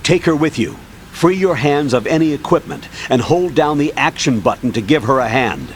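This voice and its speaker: A man playing a brisk, authoritative military colonel briefing in a video game. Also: fairly high pitch, animated, a little hiss or hum. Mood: instructive.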